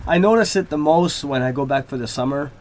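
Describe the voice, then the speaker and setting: Man, relaxed and rambling. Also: fairly high pitch, fairly quick. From Laval, Quebec, Canada. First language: French. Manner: relaxed, rambling with many fillers. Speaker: hockey player guest. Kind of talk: radio interview.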